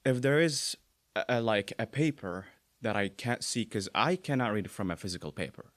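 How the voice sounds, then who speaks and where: Young man with an Arabic accent, low voice. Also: moderate pace, no echo. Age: young adult. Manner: relaxed, many fillers and restarts. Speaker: guest. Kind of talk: casual interview talk.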